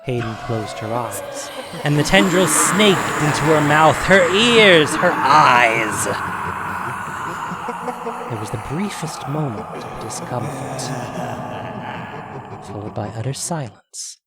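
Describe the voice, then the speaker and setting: Slow, expressive male story narrator. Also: medium pitch, heavy background noise. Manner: deliberate, expressive. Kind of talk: dramatic scripted story narration.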